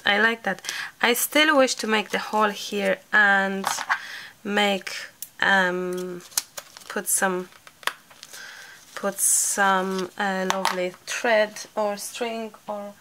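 A woman, slightly hoarse, chatting casually while she works through a crafting tutorial. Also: medium pitch, fairly even intonation, extremely slow, pauses often, noisy background. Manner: chatty, thinking aloud, light laughs. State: slightly hoarse from a sore throat. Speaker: craft channel host.

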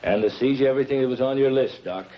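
A man's voice: mid-range voice, quick pace, lightly acted. An actor playing a tough, practical henchman with clipped, hard-boiled talk in a 1950s television crime drama. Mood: businesslike, clipped. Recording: muffled, hissy 1950s TV soundtrack.

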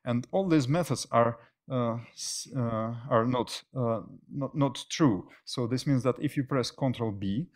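A middle-aged man presenting technical material as a conference speaker, often pausing mid-phrase. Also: mid-range voice. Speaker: conference speaker, software engineer. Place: indoor stage at a tech meetup.

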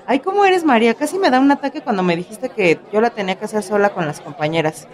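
A woman's voice: medium pitch, lively intonation, quick pace, a crowd in the background, natural voice. A woman acting a nervous, pleading young student delegate in a radio soap, with a colloquial Mexican accent. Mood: anxious, scolding. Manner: exclaiming, colloquial.

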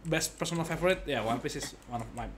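A man's voice: a little high, fast talker, a bit bright, slight background noise. A young man giving casual, rambling gameplay commentary, often repeating his words. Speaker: game streamer. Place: indoor room, game music behind.

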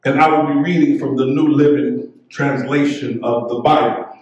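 A middle-aged American man preaching as a pastor in a measured, fervent, declamatory way. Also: mid-range voice, steady tone, a little mellow, far from the mic, lo-fi sound. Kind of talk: sermon, scripture reading and prayer. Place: church sanctuary at the pulpit. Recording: echoey church hall, off mic.

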